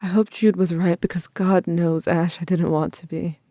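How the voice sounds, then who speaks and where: Low, dark-voiced brooding young narrator played by a woman. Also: fairly even intonation, dry recording, studio quality. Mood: haunted, dread. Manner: measured, confessional, somber. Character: brooding, guilt-haunted young narrator addressing a friend. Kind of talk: scripted audio drama narration.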